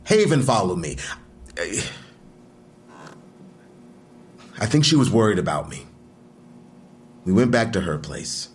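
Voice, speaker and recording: A man's voice: on the low side, sing-song, normal speed, slight background noise. A man playing an aggrieved, defiant man under police questioning in an audio drama, speaking colloquially and emphatically. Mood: exasperated. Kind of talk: full-cast audio drama acting.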